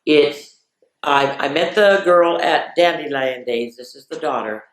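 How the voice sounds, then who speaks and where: A woman hosts story time for children in a roomy, echoing space. She reads picture books aloud at a very unhurried pace, drawing out key words and slipping in informal comments of her own. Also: low voice. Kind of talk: reading picture books aloud with chatty asides. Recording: echoey, roomy sound.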